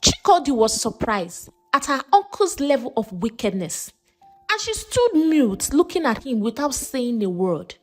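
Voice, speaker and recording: Female Nigerian storyteller for folktales: high-pitched, very animated melody, soft music underneath. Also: a little slow, rough recording. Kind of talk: expressive folktale narration.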